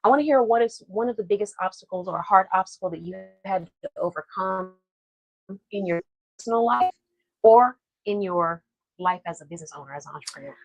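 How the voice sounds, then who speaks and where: A woman's voice: medium pitch, expressive, a little fast, dry recording, rough recording. A woman hosting a podcast interview, encouraging her guest and summing up what she says. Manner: encouraging, summarizing the guest's points. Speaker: podcast host. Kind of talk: casual interview.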